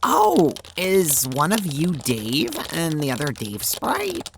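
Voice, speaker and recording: Woman as a creaky, swooping, naive teenage girl. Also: sits low, takes it slowly, heavy background noise, full character voice. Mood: puzzled, friendly. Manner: hesitant, earnest, expressive. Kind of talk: audio drama character dialogue.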